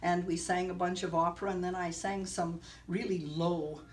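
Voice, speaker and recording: A woman soprano and opera director chatting in an interview, lively and laughing often. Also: lowish voice, normal speed. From partly Caribbean. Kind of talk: casual interview chat. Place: indoor concert venue. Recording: distant mic, noisy room.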